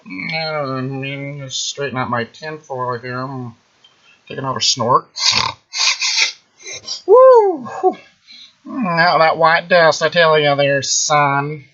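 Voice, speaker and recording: A smug self-proclaimed mind-reader taunts in a bright, tense, fairly high voice, as a man puts him on. He swings up into squeaky peaks and sinks into low muttering, and he hangs drawn-out "mm-hmm"s on his boasts. The recording is muffled and lo-fi. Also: lively intonation, exaggerated voice. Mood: taunting. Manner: taunting, sing-song, drawn-out "mm-hmm" and "I sure do" tags. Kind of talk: mocking satirical monologue.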